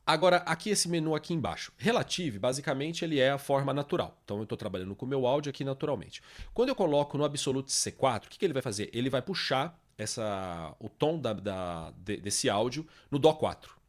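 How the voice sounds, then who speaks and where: A man's voice: medium pitch, expressive, fast, few pauses. A man with a Brazilian accent explains step by step as a tutorial presenter, informally, often adding little checking tags after his statements. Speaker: tutorial presenter, music production teacher.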